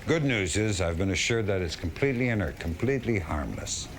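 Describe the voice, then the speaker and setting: An actor playing a gruff, blunt military general in a game cutscene, stern and plain-spoken. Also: male voice, low voice, very animated, brisk, noisy background. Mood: approving, relieved. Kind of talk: dramatic game cutscene acting.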